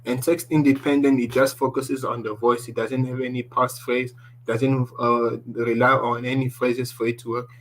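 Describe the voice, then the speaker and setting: Male presenter with a deep voice, mid pitch, teaching a tech class over a faint hum, restarts often. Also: level tone, normal speed, rough recording. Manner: explaining slides, restarts often, ends points with "basically". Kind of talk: online tech lesson.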